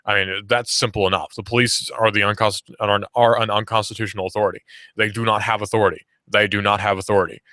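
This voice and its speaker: A man's voice: on the low side, level tone, quick pace, sharp tone, no room sound, clean studio sound. A young man in his late teens hosting a talk radio show, giving sarcastic, argumentative commentary and repeating points for emphasis. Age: young adult. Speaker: radio host. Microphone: studio microphone.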